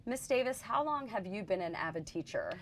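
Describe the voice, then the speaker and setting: A woman's voice: medium pitch, expressive, fairly quick. A woman hosting a school district interview, asking friendly questions. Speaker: interview host.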